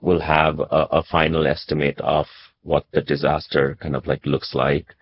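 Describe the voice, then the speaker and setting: A man's voice: rumbling low, level tone, normal speed, breathy edge, dry recording, muffled recording. A man from Nepal speaking as a journalist in an interview, explaining things reflectively and conversationally, often checking in with "right?" and "you know".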